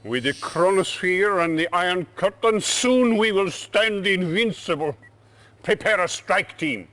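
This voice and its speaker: An actor playing a menacing, imperious Soviet dictator with a Russian accent and a commanding, theatrical delivery in a video game cutscene. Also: male voice, high voice, expressive, unhurried, a bit bright. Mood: cold resolve.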